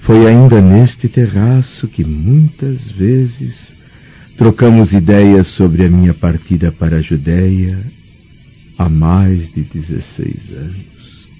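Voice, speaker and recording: An actor playing a grave, nostalgic Roman senator in a formal, solemn radio drama style. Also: male voice, deep voice, takes it slowly, mellow, breathy edge, heavily acted. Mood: wistful, solemn. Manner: solemn, declamatory. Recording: muffled, dull radio drama recording.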